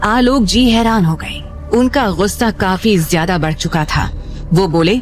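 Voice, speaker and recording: Female narrator for a dramatic serial story, medium pitch, light music bed. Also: a little slow. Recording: music under the voice.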